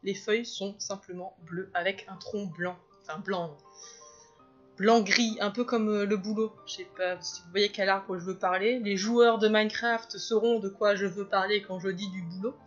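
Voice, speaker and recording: A woman's voice: medium pitch, fairly even intonation, normal speed, lo-fi sound, soft background music. A woman chatting casually as she talks through her illustration, rambling into asides and laughing often. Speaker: illustrator and video host. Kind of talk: casual drawing commentary.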